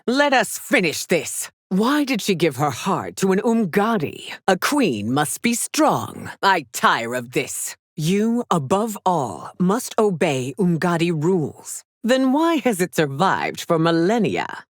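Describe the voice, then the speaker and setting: A woman's voice: lowish voice, no room sound, clean studio sound, exaggerated voice. A woman playing a proud, stern warrior empress in a fighting video game, speaking in a formal, commanding, scornful manner. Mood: haughty, scornful. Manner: imperious, formal, measured, commanding. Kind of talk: theatrical video game character lines.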